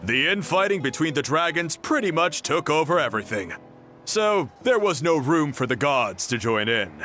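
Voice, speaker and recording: A man playing a confident, easygoing young adventurer in an anime game, relaxed, reassuring and a little teasing. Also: on the high side, moderate pace, faint music behind. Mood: explanatory.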